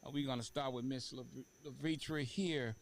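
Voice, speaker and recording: A man hosting a talk show in a casual interview style, speaking haltingly and repeating words for emphasis. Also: mid-range voice, steady tone. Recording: faint background noise.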